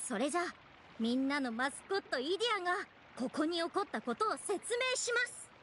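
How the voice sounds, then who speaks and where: A woman's voice: high voice, lively intonation, fairly quick, background noise. A woman voicing a polite, apologetic young female guide and cheerful mascot in an anime game, explaining things earnestly. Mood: explaining, reassuring.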